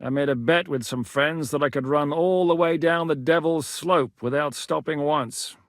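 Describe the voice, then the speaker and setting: A man voicing a gravelly, boastful old soldier. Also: on the high side, normal speed, no echo, studio quality, lightly acted. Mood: jovial. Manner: easygoing storyteller. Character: boastful, jovial male old soldier, fond of drink. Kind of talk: video game voice acting.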